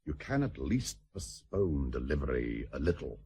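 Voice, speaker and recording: An actor playing an exasperated, dry-witted, formal lord with a rough-edged husky voice in a radio drama. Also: male voice, extremely low pitch, very animated, moderate pace, mellow, heavily acted. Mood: stern, urgent. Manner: formal, sardonic. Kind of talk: British stage-play radio acting.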